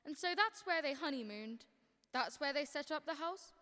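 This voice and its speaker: A woman's voice: high voice, expressive, moderate pace, bright, forceful tone. A teenage girl playing a patient storyteller in a radio drama, explaining events. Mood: patient storytelling. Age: teens. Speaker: student radio drama actor. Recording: long hall-like reverb.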